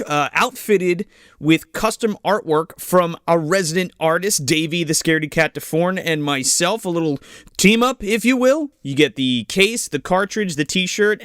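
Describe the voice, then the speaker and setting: A man co-hosting a casual podcast, his voice sitting a little high and coming through smooth and clean. The sound is dry and close, and he talks loosely, cursing now and then. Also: fairly bright tone, no echo. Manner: casual, swears freely. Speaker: podcast co-host.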